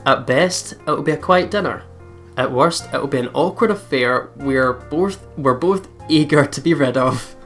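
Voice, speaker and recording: A man's voice: mid-range voice, a little slow, a bit bright. Man reading out a visual novel. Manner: giggly, chatty asides between read passages. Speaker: let's play host. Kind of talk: reading visual novel text aloud mixed with casual chat. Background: game background music.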